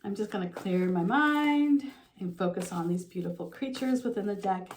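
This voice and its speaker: A middle-aged woman giving a relaxed, talking tarot reading to her viewers, her voice a little froggy from a slight sore throat, with frequent ums and you-knows. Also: medium pitch, moderate pace, slight background noise. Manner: soft conversational, with frequent um and you know. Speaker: tarot reader.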